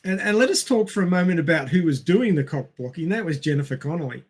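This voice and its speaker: Male podcast co-host, slightly high-pitched, casual and explanatory, for relaxed movie discussion. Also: quick pace, breathy edge.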